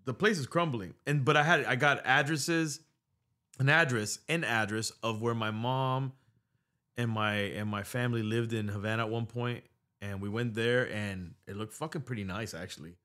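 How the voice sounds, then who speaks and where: Animated middle-aged man telling stories. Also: medium pitch, moderate pace. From United States. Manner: animated storytelling, swears freely, drops Spanish words. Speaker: podcast host. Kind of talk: casual chat. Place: podcast studio. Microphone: studio mic on boom arm.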